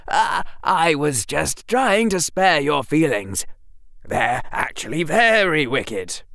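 A man doing a high, flustered boy wizard. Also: very animated, takes it slowly, vocal fry, bright, forceful tone, no echo, studio quality, full character voice. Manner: flustered, stammering, blurting.

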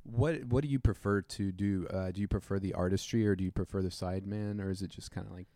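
A laid-back host asks loose, friendly questions with plenty of "um" and "uh". The voice sits in a middle pitch and sounds mellow and warm. It is recorded dry and close, with no room echo. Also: fast. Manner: relaxed, filler-heavy questions, laughs along. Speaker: interviewer host. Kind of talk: casual interview chat.

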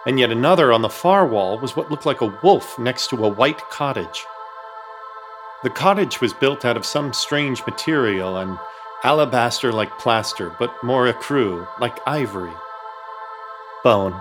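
A man's voice: medium pitch, lively intonation, unhurried, music in the background. Man narrating a horror comedy. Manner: wry, storytelling.

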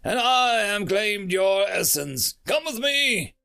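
Man voicing a high-pitched, haughty female alien spirit. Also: big pitch swings, takes it slowly, creaky, bright, forceful tone, smooth, soft background music, full character voice. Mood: imperious. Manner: declamatory, indignant, formal. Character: haughty female alien spirit guide, chimpanzee-lizard shade, imperious.

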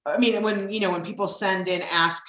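A middle-aged woman with a deep husky voice, hosting her podcast in casual chat, rambling with plenty of ums. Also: steady tone, a little fast, a bit bright, roomy sound. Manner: chatty, rambling, with frequent ums. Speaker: podcast host.

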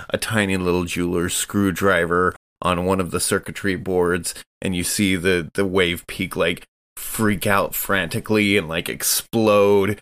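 A man playing a tabletop game, casually improvising a description of what his character does, haltingly, searching for words. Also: deep voice, a bit bright, smooth.

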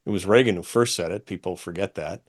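A man's voice: sits low, quick pace. A middle-aged American man talking as an opinionated radio host, explaining things in an emphatic, pedantic way. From United States.

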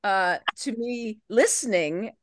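A woman's voice: medium pitch, expressive, slow, no echo, clean studio sound. A middle-aged actress chatting casually as a podcast guest, often cutting into her own sentences in a playfully theatrical way.

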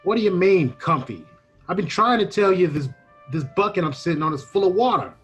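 A husky-voiced small-time crook of an informant as acted in a radio play, slangy and wary. He grumbles like a street tough in comic old-time style, over music. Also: mid-range voice, animated, brisk, muffled recording. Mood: grumbling, uneasy.

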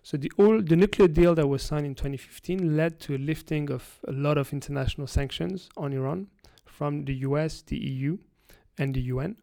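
A man from France, a guest analyst giving explanatory interview answers, halting with frequent restarts. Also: on the high side, creaky, warm tone, no room sound.

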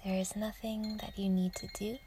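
A woman guiding a yoga nidra meditation in a soft, breathy near-whisper, gentle and soothing, with unhurried pauses. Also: medium pitch, flat delivery, noisy background. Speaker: meditation guide.

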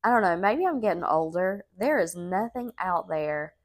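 A woman, co-hosting a podcast, nasal and congested from a sinus infection, chatty and quick to laugh. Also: lowish voice, animated. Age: 30s. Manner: chatty, laughs often, says y'all. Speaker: podcast co-host. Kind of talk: casual chat and storytelling.

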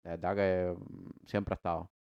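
Deep-voiced young man with Cuban Spanish accent. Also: normal speed, smooth, dull audio. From United States. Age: 20s. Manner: rambling, many restarts and repeated words; slangy and relaxed. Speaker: podcast co-host. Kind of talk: casual conversation about his life.

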